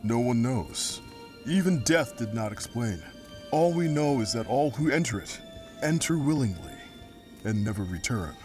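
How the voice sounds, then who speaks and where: A man's voice: medium pitch, animated, a little mellow, natural voice. Guy doing an ancient noble ghost for an audio drama, formal archaic talk, solemn, music underneath. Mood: grave, courteous. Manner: formal archaic speech, measured and grave. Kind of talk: audio drama character acting.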